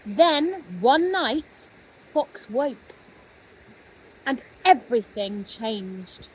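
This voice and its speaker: A woman narrating a children's story expressively, with gentle dramatic pauses. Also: high-pitched, big pitch swings, a little hiss or hum, low-quality recording. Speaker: storybook reader.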